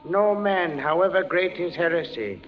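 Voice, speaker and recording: An actor playing a stern, pitiless judge of the Inquisition, speaking gravely and with measured weight in 1940s radio style. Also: male voice, fairly high pitch, lively intonation, close to an everyday voice. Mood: pronouncing. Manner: grave, measured, pronouncing sentence. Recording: dull old radio broadcast with music.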